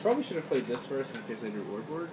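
Laid-back male card player hosting his own channel; mid pitch, recorded from a distance by a phone in a roomy, noisy room. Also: sing-song, fast talker. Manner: laid-back, chuckling, talks to viewers. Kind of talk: casual play commentary. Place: indoor room at a game table.

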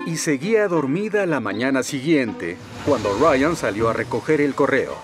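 Expressive male cartoon narrator. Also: mid-range voice, moderate pace, music in the background. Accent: Latin American Spanish. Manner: expressive, storytelling. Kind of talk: scripted storybook narration.

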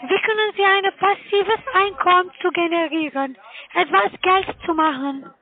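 A woman with a foreign accent and non-native, halting German, giving a sales pitch as a cold caller over the phone. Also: steady tone. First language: not German. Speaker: cold caller selling online investments. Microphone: telephone call. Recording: muffled narrowband phone line.

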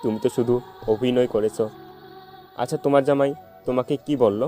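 A man's voice: mid-range voice, steady tone, fairly quick, dark tone, faint music behind, close to an everyday voice. A man voicing a heartbroken, jilted young lover in an amateur audio love-story drama. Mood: bitter, mocking.

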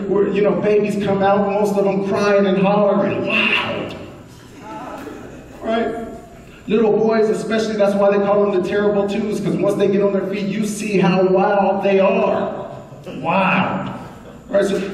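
A middle-aged man preaching a lively sermon, repeating phrases and prompting his congregation. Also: high-pitched. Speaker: pastor. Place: large bright church hall indoors. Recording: echoey hall recorded from far off.

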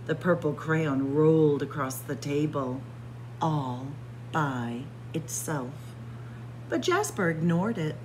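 A woman reads a spooky picture book aloud for children, her lowish voice swooping widely between hushed whispers and dramatic highs. She takes her time and savors each line. A faint hum sits under the voice. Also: low-quality recording. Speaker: children's librarian. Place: library with bookshelves.

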